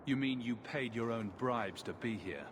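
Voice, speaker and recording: An actor playing a calm, level-headed young adventurer in a video game, composed and direct. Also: male voice, medium pitch, moderate pace, lightly acted. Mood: composed, inquiring. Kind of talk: video game cutscene acting. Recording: clear background ambience noise.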